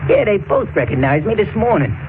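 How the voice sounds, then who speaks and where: A man's voice: sits high, sing-song, fairly quick. An actor playing a young escaped convict posing as a cabin boy, tough and slangy in a 1940s radio drama. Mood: menacing. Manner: slangy, tough-talking, uneducated grammar. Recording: tinny, noisy 1940s radio recording.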